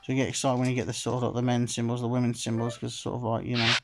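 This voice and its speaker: A man's voice: mid-range voice, completely flat delivery, racing, slight background noise. A man casually commenting while playing a slot game, rambling and filling gaps with "sort of" and "like I say", sounding a bit phlegmy. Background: game music.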